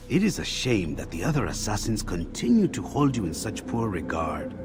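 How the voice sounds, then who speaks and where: A man's voice: fairly low pitch, huge pitch swings, faint music behind. An actor playing a courteous male keeper of an assassins' bureau in a video game, formal and respectful. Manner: formal, measured. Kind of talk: dramatic video game acting.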